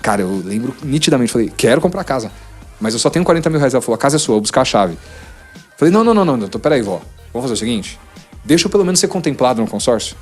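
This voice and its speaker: A man's voice: medium pitch, rapid-fire, breathy edge, soft background music. A man in his forties with a São Paulo Brazilian accent, telling a personal story as a podcast guest, casually acting out remembered conversations. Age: 40s. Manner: animated retelling with quoted dialogue.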